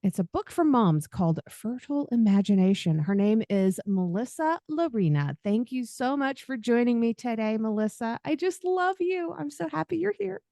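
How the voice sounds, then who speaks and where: A woman's voice: on the high side, very animated, moderate pace, few pauses, mellow, no echo. A woman hosts a podcast in a chatty, easygoing way and laughs freely. The sound is close and dry, with no room around her. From Southern United States. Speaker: podcast host. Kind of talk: podcast interview, friendly chat.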